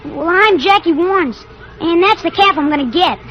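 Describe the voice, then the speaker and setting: A woman's voice: extremely high pitch, animated, moderate pace, lo-fi sound, a little hiss or hum. A woman playing a plucky, determined American eight-year-old in an old-time radio western.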